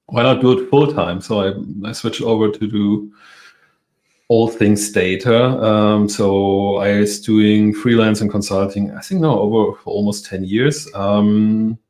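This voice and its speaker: A man's voice: lowish voice, fairly even intonation, measured pace, darkish tone. A man from Europe, a native German speaker, talking as a guest in a casual interview, hesitant with self-deprecating laughs.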